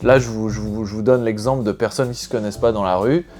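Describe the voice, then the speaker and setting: A man's voice: lowish voice, fairly even intonation, fast talker, a little mellow, faint music behind. A man from France talking to his viewers in a casual vlog, chatty and thinking aloud as he rambles from point to point. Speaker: expat vlogger.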